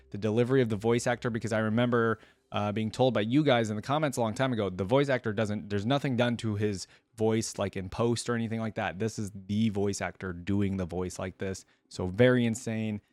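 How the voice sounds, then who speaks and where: A man's voice: lowish voice, dry recording. A man hosting a reaction video, giving casual, unscripted commentary to viewers in a rambling, conversational way.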